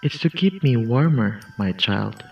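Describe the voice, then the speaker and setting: A man's voice: medium pitch, very animated, a little slow, creaky. A man voicing a sly, predatory werewolf who poses as a grandmother in a storybook tale, coaxing and commanding. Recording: muffled lo-fi distant mic, music behind.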